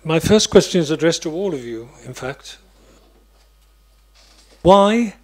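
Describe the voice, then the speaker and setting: A man's voice: a little high, darkish tone. A man in a conference audience, a theatre specialist, projecting his question emphatically to the panel. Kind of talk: academic panel discussion.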